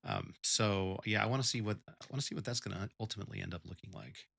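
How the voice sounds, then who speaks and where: A man's voice: on the low side, fast, gravelly, a bit bright, no echo, clean studio sound. A man co-hosting a casual podcast, laid-back and supportive. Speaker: podcast co-host. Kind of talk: casual chat.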